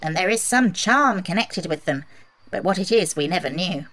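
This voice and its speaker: A man's voice: high-pitched, animated, normal speed, sharp tone, pitched above the performer's own voice. A man voicing an earnest, helpful little Munchkin man from fairyland in an audiobook, explaining things. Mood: earnest, informative. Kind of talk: audiobook character voice.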